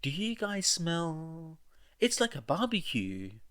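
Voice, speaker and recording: A man's voice: a little high, huge pitch swings, takes it slowly, a bit bright, smooth, pitched above the performer's own voice, heavily acted. A man voicing a casual, chatty henchman in a band of villains. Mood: puzzled.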